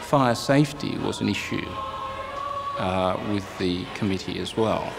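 A man's voice: lowish voice, unhurried, gravelly, over loud music. A middle-aged man, giving an account in an interview as an official. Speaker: public works official.

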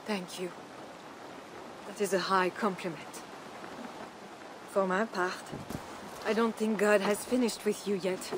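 A woman's voice: medium pitch, steady tone, natural voice. A woman acting an earnest, devout queen. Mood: earnest faith. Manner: earnest, formal, measured. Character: devout, composed Frankish queen, gentle but resolute. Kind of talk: dramatic game cutscene acting. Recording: rain and ambient noise behind.

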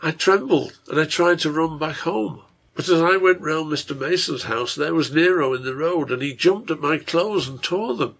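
A man voicing a gentle, pious young girl on her sickbed in a story, speaking quietly and earnestly to her father. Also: on the high side, moderate pace, gravelly, slightly rough, voice pushed up, heavily acted. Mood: intense recounting. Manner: quiet, tender, intent delivery.

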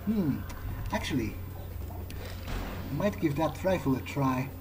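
A man's voice: fairly high pitch, lively intonation, slow pace. A man doing in-character game commentary, wryly thinking aloud as he plays. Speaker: gamer playing in character. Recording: clearly noisy, game ambience behind.

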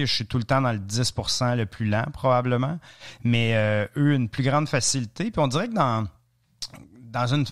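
Man, 30s, Franco-Ontarian French accent, podcast guest, joking storyteller, lots of fillers, mid pitch. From Eastern Ontario, Canada. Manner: chatty, joking storyteller with many hesitations. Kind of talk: casual podcast interview.

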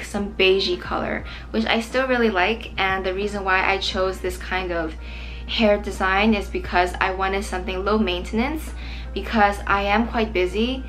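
Chatty young woman, slightly hoarse voice. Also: medium pitch, fairly bright tone, low-quality recording. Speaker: vlogger. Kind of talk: casual makeup chat to camera. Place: small bright room indoors.

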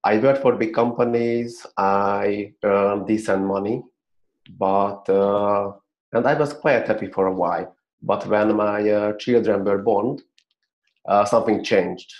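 A man in his thirties from Hungary with a Hungarian accent, telling his story as a guest in an earnest, halting way with many fillers, heard over a video call in a small room. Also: on the low side, fairly even intonation, darkish tone. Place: small plain-walled room. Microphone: video call webcam. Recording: dull lo-fi video call audio.

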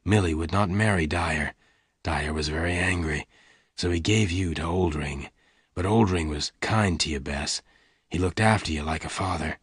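A gruff, gentle gunman from a western storybook, acted out by a male reader. The voice is very deep and quiet, with a deliberate, solemn delivery. The sound is dry and close. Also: no echo. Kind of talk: dramatised audiobook dialogue.

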